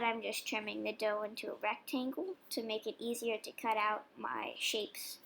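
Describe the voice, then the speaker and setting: Young woman, fairly high voice, chatty how-to, relaxed step-by-step explaining. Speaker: vlogger baking dog treats. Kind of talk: casual cooking demonstration voice-over.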